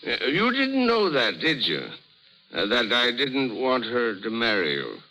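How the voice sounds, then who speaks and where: Bright, forceful, halting old-time radio father. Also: medium pitch, huge pitch swings, a little hiss or hum, exaggerated voice, poor audio. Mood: sheepish, rueful. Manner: halting, pleading, stammering. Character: weary, remorseful alcoholic father, failing his daughter. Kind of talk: 1930s radio drama acting. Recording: vintage radio recording, faint music.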